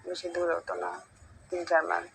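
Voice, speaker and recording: Middle-aged woman, level pitch, creaky, hesitant, coaxing, repetitive, casual phone chat, noisy call recording. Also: completely flat delivery, fairly quick, fairly bright tone. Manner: hesitant, coaxing, repeats herself. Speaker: mother-in-law on a phone call. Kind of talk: casual private phone conversation.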